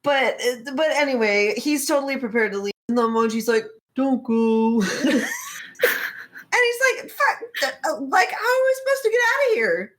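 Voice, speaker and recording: A woman's voice: sits high, sing-song, moderate pace, fairly bright tone. A woman podcast co-host chatting casually and comically as she retells a story, slangy, sweary and giggly, acting out dialogue. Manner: acts out characters' lines in mock dialogue.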